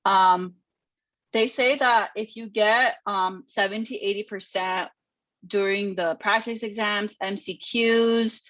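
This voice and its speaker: Dull, close and dry call audio carries a woman's medium-pitched voice. She hosts a panel with an informal, effusive, chatty manner. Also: steady tone, slow pace, a bit bright, dry recording. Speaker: panel host. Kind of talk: casual chat.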